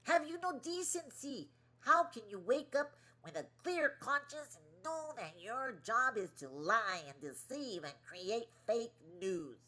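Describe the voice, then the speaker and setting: An actress playing a curt, indignant government press secretary who scolds and talks down with emphasis in an audio play. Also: female voice, fairly high pitch, sing-song, unhurried, few pauses, pushed and bright, heavily acted, poor audio. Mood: scolding.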